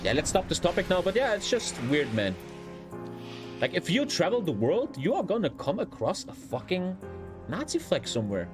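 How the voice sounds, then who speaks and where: Animated German-accented man over loud music. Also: sits high, moderate pace. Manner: rambling, heavily sweary, blunt. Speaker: live streamer. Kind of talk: casual livestream chat over gameplay.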